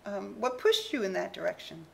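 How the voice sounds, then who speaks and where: A woman interviewing a musician, asking measured, polite questions. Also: medium pitch, animated, vocal fry, fairly bright tone, faint music behind, rough recording.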